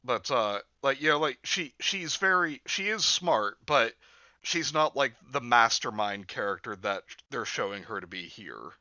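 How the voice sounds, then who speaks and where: Very bright-voiced man, jokey chat. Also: mid-range voice, expressive, measured pace, no echo, dull audio. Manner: mocking, jokey. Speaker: podcast co-host. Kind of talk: casual chat.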